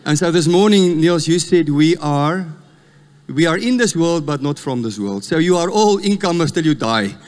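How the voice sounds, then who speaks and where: A man's voice: fairly high pitch, moderate pace. Afrikaans-accented male preacher, church reverb. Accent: South African Afrikaans. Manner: storytelling, animated, laughs at himself. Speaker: guest preacher. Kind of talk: sermon. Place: church hall.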